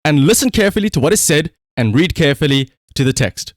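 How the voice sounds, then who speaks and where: A man's voice: a little high, lively intonation, fast talker, dry recording. A man livestreaming casual commentary, telling a story conversationally with rhetorical asides.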